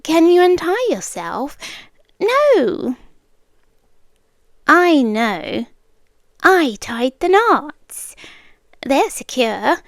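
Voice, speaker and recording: A woman acts out an obsessive young British girlfriend in an ASMR roleplay, her voice high and softly breathy. She lingers over her words and swings up and down in pitch, doting and coy with a calm menace underneath, very close to the mic. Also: drawn-out words, pauses often, no echo, exaggerated voice. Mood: smug, doting menace. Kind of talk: ASMR roleplay, intimate soft-spoken acting. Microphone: close microphone, dry.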